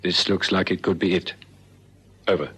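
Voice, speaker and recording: Low South African detective sergeant. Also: male voice, steady tone, fairly bright tone. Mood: brisk, dutiful. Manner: brisk, procedural. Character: dutiful, wry male police detective sergeant. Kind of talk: old radio drama acting. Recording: vintage radio recording, faint noise.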